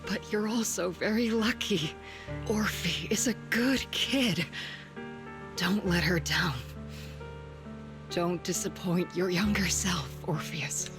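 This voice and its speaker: Very slow, sorrowful, guilt-ridden woman. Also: fairly low pitch. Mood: solemn, tender. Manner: slow, solemn, halting delivery. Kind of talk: dramatic game cutscene acting. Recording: dramatic music behind the voice.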